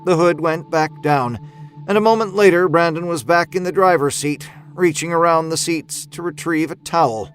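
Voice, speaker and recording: A man's voice: fairly high pitch, normal speed. A man reading horror fiction as a scripted audiobook-style narration. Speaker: storyteller. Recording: music behind the voice.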